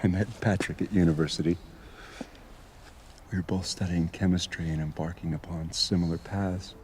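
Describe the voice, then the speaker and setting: A man's voice: low voice, steady tone, normal speed, darkish tone, slight background noise, close to an everyday voice. An actor playing an earnest, emotional male bishop in a video game cutscene, with music behind him. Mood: wistful. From Ireland.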